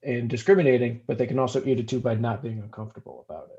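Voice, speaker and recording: A man giving thoughtful commentary in a group discussion on a video call, measured and rephrasing as he goes. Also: mid-range voice, fairly even intonation, mellow. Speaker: discussion participant. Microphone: video call microphone. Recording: slightly dull video call audio.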